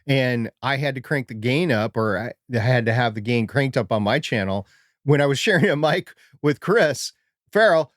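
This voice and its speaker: A man co-hosting a casual podcast chat in a soft, quiet voice with a steady, consistent delivery. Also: mid-range voice, moderate pace, dry recording, clean studio sound. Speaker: podcast co-host.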